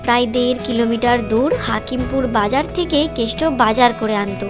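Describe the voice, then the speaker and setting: A woman's voice: a little high, steady tone, fairly quick. A woman narrating a scripted cartoon story as the storyteller. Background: music.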